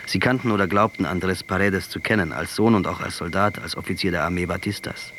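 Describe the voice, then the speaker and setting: An actor playing a proud, hardened revolutionary commander, sardonic and deliberate, in a radio play. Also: male voice, sits low, a bit bright, a little hiss or hum. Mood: grave, stern. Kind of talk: German radio drama dialogue.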